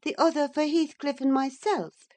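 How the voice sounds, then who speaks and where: A woman voicing an impulsive, excitable young lady of the house, speaking high and emphatic in a dramatized storybook reading. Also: moderate pace, natural voice. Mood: teasing, imperious.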